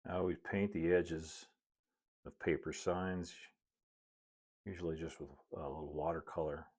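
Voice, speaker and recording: A man's voice: low voice, level tone, a little slow, a little mellow. A middle-aged man narrating a hands-on hobby tutorial in a casual, folksy way with chatty asides. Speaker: model railroad builder and video host.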